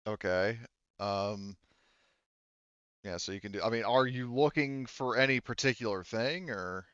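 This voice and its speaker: A man's voice: lowish voice, measured pace, a bit bright, clear, resonant tone, no room sound, highs cut off. A man speaking as game master in a casual, rambling way, explaining rules and describing scenes and often correcting himself.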